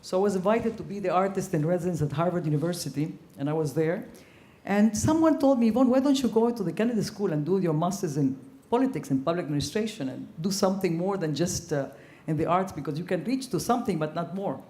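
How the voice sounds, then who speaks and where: An older woman from Ecuador speaking on a conference panel, telling her story earnestly with frequent pauses and ums. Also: fairly low pitch. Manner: earnest, reflective, frequent ums, growing animated. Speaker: panelist, former ambassador. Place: conference hall. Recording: echoey conference hall.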